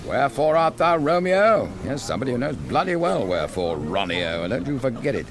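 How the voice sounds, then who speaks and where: An actor in a radio drama playing a slangy, mocking bully who makes cool threats to a rival. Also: male voice, medium pitch, big pitch swings, fairly quick, crowd noise. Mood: mocking menace. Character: menacing, slangy upper-class man bullying a rival.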